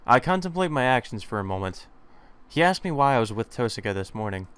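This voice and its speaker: A man's voice: mid-range voice, very animated, fast talker, fairly bright tone, smooth. A man narrating a let's play, reading a visual novel aloud and adding casual commentary. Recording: music behind the voice.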